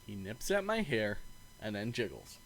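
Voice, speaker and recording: A man's voice: on the low side, big pitch swings, normal speed, fairly bright tone, a little hiss or hum. A man streaming a game, reading its narration aloud expressively, sometimes stumbling and breaking into casual asides.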